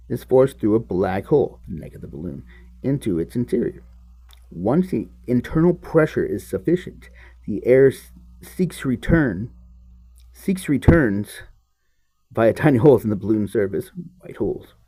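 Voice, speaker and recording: A man reading a book aloud as host, in an expressive, musing manner with offhand asides. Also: fairly low pitch, creaky, husky.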